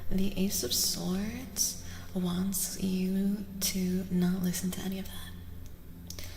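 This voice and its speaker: A soft-spoken woman gives an ASMR reading in a gentle, deliberate way, often dropping into a whisper. Her pace is unhurried and her voice has a creaky edge. The sound is reverberant. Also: mid-range voice, level tone. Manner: gentle, deliberate, repeats phrases. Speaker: streamer and tarot reader. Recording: roomy reverberant sound, faint noise.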